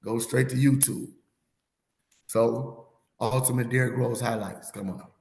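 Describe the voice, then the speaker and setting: A man in his thirties or forties hosting a sports podcast, losing his voice, rambling and animated as he tells stories in casual chat. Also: mid-range voice, measured pace, creaky, reverberant. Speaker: podcast host.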